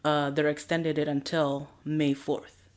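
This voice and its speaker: A woman with a notably low voice chats on a podcast. She is relaxed and easygoing, speaking without hurry. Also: measured pace. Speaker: podcast co-host. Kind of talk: casual chat.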